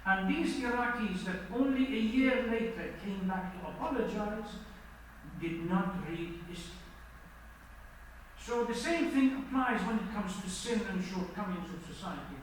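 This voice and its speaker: High-pitched male preacher in an echoey hall. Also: expressive, a little slow. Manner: didactic, questions the audience directly. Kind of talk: sermon lecture to a congregation. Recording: echoey hall, distant mic, faint noise.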